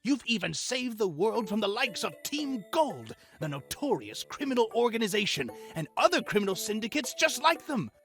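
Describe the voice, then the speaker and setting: A cheerful creature-research professor from a video game, played by an actor, effusive and theatrical. The delivery swings widely in pitch, explaining things dramatically to a young trainer. Game music plays underneath. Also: very animated, moderate pace, hardly any pauses, fairly bright tone. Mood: bright, welcoming. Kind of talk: scripted visual novel character acting.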